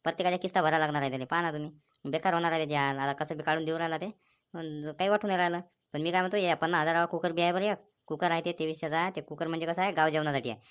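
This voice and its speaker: A woman's voice: low-pitched, fairly even intonation, racing, clear, resonant tone, close to an everyday voice. A woman playing a skeptical, nagging villager in a comic cartoon, chattering warnings in a Vidarbha Marathi accent. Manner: chattering, admonishing.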